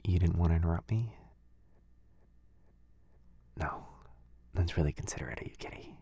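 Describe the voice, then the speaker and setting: A man playing a kind, caring wizard in a boyfriend ASMR roleplay, murmuring softly and a little hesitantly close to the microphone. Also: deep voice, moderate pace, dry recording, highs cut off, full character voice. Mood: tender, caring. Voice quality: soft, breathy, husky. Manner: gentle, hesitant, murmuring, intimate. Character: kind, caring young male wizard, gently fussing. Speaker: audio roleplay voice performer. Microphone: close, dry microphone.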